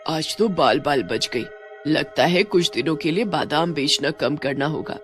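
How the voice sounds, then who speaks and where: A woman's voice: low-pitched, measured pace, soft background music, pitched below the performer's own voice. A woman voicing a menacing, gloating witch who sells almonds and hunts people in a cartoon horror story. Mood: scheming.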